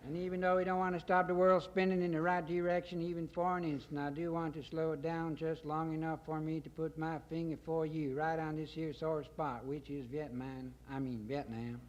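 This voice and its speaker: A man's voice: fairly high pitch, little pitch movement, brisk, few pauses, highs cut off, slight background noise, voice pushed up, natural voice. A man voicing a pompous, folksy Texan president making self-assured war speeches in a satirical poem. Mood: rousing, folksy. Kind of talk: satirical poetry reading.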